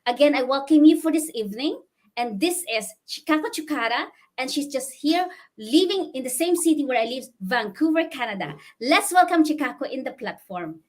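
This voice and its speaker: A woman with a high voice hosts a live online interview. She is effusive and emphatic, speaking straight to viewers and repeating phrases to drive them home. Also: lively intonation, normal speed, darkish tone. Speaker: host interviewing a guest. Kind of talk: livestream interview hosting.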